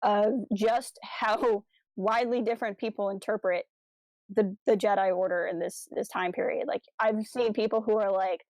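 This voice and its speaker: A woman's voice: medium pitch, steady tone, moderate pace, a little mellow, no room sound, poor audio. A woman co-hosting a podcast, talking casually in an earnest, reflective and analytical way. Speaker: podcast co-host.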